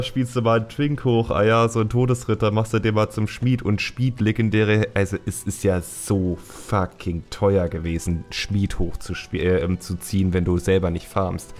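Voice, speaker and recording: Low-voiced man in casual chat. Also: little pitch movement, moderate pace, darkish tone. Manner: colloquial, slangy, mild swearing. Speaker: podcast host.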